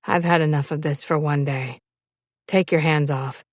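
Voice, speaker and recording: A woman voicing a proud, frustrated young sailor in love, husky, terse and intense, in an audiobook story. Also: sits low, fairly even intonation, normal speed, dry recording, voice pushed down. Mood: intense, demanding. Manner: terse, intense, clipped words. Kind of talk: audiobook character dialogue.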